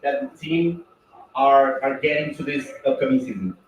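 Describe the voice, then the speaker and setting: A man's voice: medium pitch, normal speed, slight background noise. A man from Brazil, a reporter asking a question hesitantly. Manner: hesitant, searching for words. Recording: dull, echoey, distant sound.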